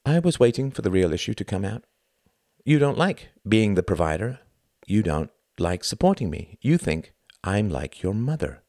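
Man voicing a scornful wife, wildly swinging pitch. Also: big pitch swings, moderate pace, a little mellow, dry recording, clean studio sound. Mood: scornful needling. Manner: scornful, needling, accusing. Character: scornful, wounded young wife, combative and accusing. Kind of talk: acted audiobook dialogue.

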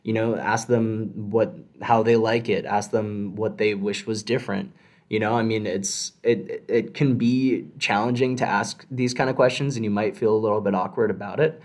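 Low-voiced young man, casual and rambling. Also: steady tone, moderate pace, darkish tone. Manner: rambling, lots of fillers and restarts. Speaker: medical student. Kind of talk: casual podcast discussion.

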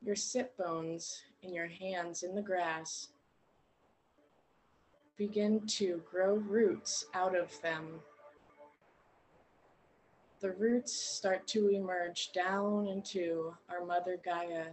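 A woman leads a guided meditation at a very slow pace. Her voice sits a little low, and she speaks gently in short phrases with long pauses. Steady ambient noise runs behind her. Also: low-quality recording.

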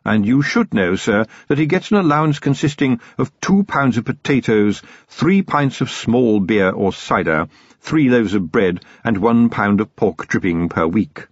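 A man voicing a polite, composed male butler who speaks in a measured, deferential way. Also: lowish voice, slightly rough, no echo. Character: calm, proper male butler. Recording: dull, dry, lo-fi recording.

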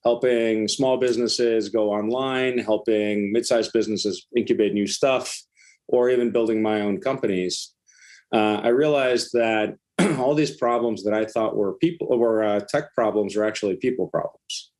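A man's voice: lowish voice, fairly even intonation, normal speed, darkish tone. A middle-aged man from Iceland talking casually as a startup founder and interview guest.

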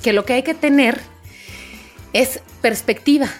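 Mexican woman, high voice, podcast co-host, casual storytelling, animated, light music behind. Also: a little slow. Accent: Mexican Spanish. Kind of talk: casual chat among friends.

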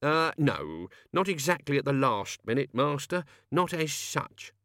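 Guy doing a fretful grumbly servant, pitched up, pleading with his master, storybook. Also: big pitch swings, a little slow, a bit bright, no room sound, studio quality. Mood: uneasy. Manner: deferential, pleading, exasperated. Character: Albert, anxious, grumbling male servant of Death.

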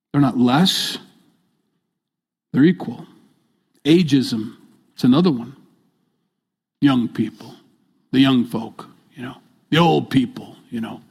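An older male pastor delivers a sermon in a church, hammering each point home by saying it twice. His voice sits somewhat high for a man, and he drops from loud to soft and back. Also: husky. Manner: emphatic, repeats key phrases, swings between loud and soft.

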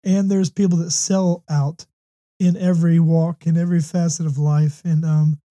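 A hoarse, slightly congested man from the United States hosting a faith talk show, speaking earnestly with many ums and restarts. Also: a little high, little pitch movement, normal speed, dark tone. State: hoarse with a runny nose. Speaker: podcast host.

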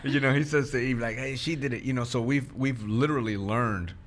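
A man of average pitch co-hosting a relaxed, faith-centred talk show. He drifts into a preaching cadence as he makes his points, and he is quick to laugh along with his guests. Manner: lively, preachy, laughs easily. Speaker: co-host. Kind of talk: casual faith-based chat.

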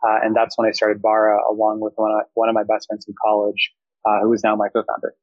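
A man's voice: fairly low pitch, completely flat delivery, fast talker, dry recording, lo-fi sound. A young man from Thailand, a startup founder, giving fluent, reflective interview answers full of business terms.

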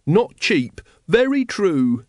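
A man voicing a dim, eager cult follower in an audiobook, excitable and colloquial. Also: high-pitched, takes it slowly, no room sound, clean studio sound, voice pushed up, heavily acted. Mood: eager agreement. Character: dim, eager, loyal male cult brother, easily impressed. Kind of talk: audiobook character acting.

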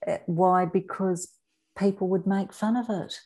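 A woman with a New Zealand accent speaking earnestly as an interview guest, deliberate and emphatic, repeating words for weight. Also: medium pitch, steady tone, dark tone. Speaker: interview guest, author and abuse survivor advocate.

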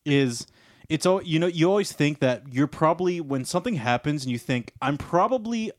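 A man's voice: on the high side, animated, moderate pace, no room sound, clean studio sound. Teasing man in casual podcast chat. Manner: playful, teasing, argues points. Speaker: podcast co-host.

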